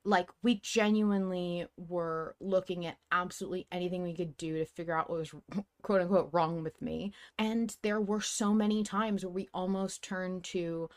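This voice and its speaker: A woman in her thirties hosting a casual fan discussion podcast, talking in an animated, emphatic way and swearing casually. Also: lowish voice, moderate pace. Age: 30s. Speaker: podcast host.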